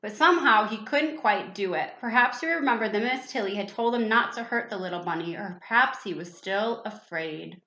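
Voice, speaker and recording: A woman's voice: medium pitch, moderate pace, hardly any pauses, bright, forceful tone. A woman reading a children's storybook aloud, expressively, with little asides and questions to young listeners. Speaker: teacher reading a picture book aloud. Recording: echoey room, slightly dull sound.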